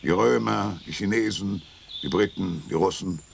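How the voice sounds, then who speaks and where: An actor playing a grumpy, sardonic retired Finnish statesman of sixty-five in a radio play, gravelly and gruff. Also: low voice, moderate pace. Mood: sardonic, lecturing. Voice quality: creaky, gravelly. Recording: faint outdoor background noise.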